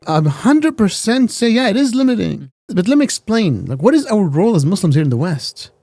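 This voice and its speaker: A man's voice: high-pitched, sing-song, a little fast, dark tone, no room sound. A man co-hosting a talk show, arguing his opinions with emphasis and often repeating words as he builds a point. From America. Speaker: podcast co-host giving opinions. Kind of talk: casual debate chat.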